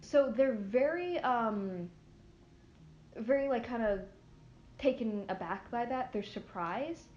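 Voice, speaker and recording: A young woman with a somewhat high voice guides a tabletop game as its game master, pausing often with fillers. The recording is roomy and a little dull, and faint music plays behind her. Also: animated, a little mellow. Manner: hesitant, filler-heavy narration.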